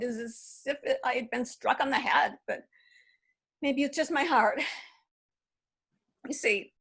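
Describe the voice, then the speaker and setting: A woman reads a heartbroken older lady who has clung to one lost love for twenty years. Her voice is slow, gravelly and husky. She stumbles and restarts in a halting, wistful way. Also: fairly high pitch, a bit bright, heavily acted. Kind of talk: readers theatre play reading.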